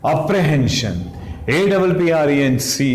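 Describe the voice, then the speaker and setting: A man's voice: fairly high pitch, expressive. Echoey man teaching English vocabulary. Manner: spells words letter by letter, repeats "ठीक?" constantly; brisk and chatty with frequent self-corrections. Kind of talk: exam-prep vocabulary lesson. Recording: echoey room, faint ambient noise.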